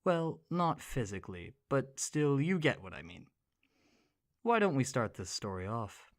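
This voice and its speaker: Male narrator with lively, swinging intonation. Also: mid-range voice, measured pace. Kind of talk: scripted story narration.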